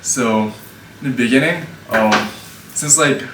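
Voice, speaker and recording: A man's voice: medium pitch, measured pace. A man in his twenties who grew up in Japan, with Japanese as his first language, speaking English easily and filling his talk with "like" as an interview guest. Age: 20s. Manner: easygoing, leans on filler "like". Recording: roomy, distant mic, faint background hum.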